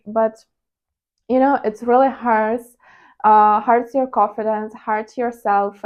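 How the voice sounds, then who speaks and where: Woman, Ukrainian accent, confessional vlog monologue, fairly high voice, dull lo-fi sound. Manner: candid, confessional, with hesitant fillers. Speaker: trading vlogger. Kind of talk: personal reflective monologue.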